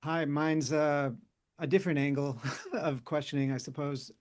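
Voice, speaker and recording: A man's voice: a little high, moderate pace. Curious casual man from Michigan. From Michigan, USA. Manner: curious, casual, frequent "like" fillers. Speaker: audience member asking questions. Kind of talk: casual questioning on a video call.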